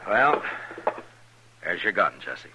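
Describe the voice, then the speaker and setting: An actor playing a stern, steady American lawman in a 1950s radio western, terse and calm with authority. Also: sits low, slow pace, husky, heavily acted. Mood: calm, kindly. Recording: faintly noisy vintage radio drama recording.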